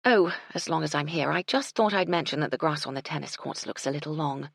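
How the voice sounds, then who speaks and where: A woman voicing a gracious, shrewd lady proprietor who speaks politely with a gently wry edge. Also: low voice, a little fast, creaky, slightly rough, no room sound, clean studio sound, exaggerated voice.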